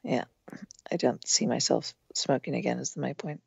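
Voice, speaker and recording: A woman in her thirties with a hoarse, strained voice, chatting casually as a podcast guest with lots of fillers. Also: a bass voice, fairly even intonation, moderate pace, a little mellow, no room sound. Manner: chatty, rambling with many fillers. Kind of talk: casual conversation.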